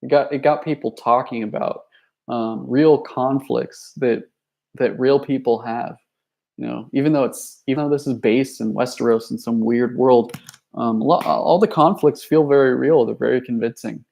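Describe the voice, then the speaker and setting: A man's voice: medium pitch, fairly even intonation, normal speed, gravelly, a little mellow. A young man chatting casually on a livestream, rambling with lots of fillers and restarts, and reading out viewer messages. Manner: reads viewer chat messages aloud. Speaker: youtuber.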